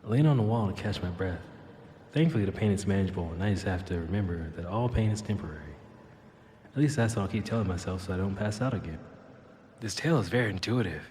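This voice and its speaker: A man's voice: deep voice, expressive, fast, a little mellow. A man voicing a flippant, rambling cyborg who narrates his own escape in an audio drama. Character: wisecracking male cyborg with a robotic tail, dazed and flippant. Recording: echoey, long reverb, noisy background.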